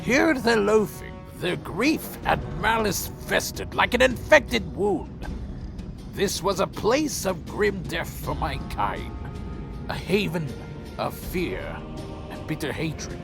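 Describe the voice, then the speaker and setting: A man playing a proud, bitter ancient vampire clan leader in a dark fantasy audio drama, brooding, theatrical and full of contempt. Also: high-pitched, sing-song, gravelly, full character voice. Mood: grim. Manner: slow, theatrical, brooding and menacing. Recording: faint music under the voice.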